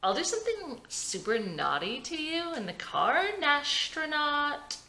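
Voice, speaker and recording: Tipsy, flirtatious wife for a TV drama read, played by a woman, high and lively, playful, clingy. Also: a little slow, roomy sound, slight background noise, full character voice. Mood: tipsy, flirty.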